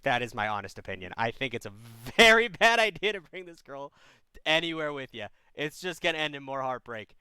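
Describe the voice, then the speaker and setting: A young man hosting a livestream advice call-in show, blunt and animated, sometimes playing a mock preacher. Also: medium pitch, a little fast, clean tone, dry recording. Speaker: advice hotline host.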